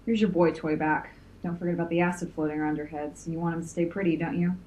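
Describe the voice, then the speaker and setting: A low-pitched, sardonic villain, acted by a woman, cocky and defiant, bargains hard and taunts in an audio drama. There is faint noise and ambience behind the voice. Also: fairly even intonation, quick pace, a little mellow, close to an everyday voice, low-quality recording. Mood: smug.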